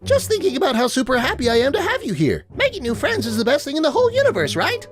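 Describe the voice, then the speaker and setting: A man voicing a bubbly, excitable, sweet teenage party girl in a chirpy, gushing falsetto. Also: quick pace, soft background music, full character voice.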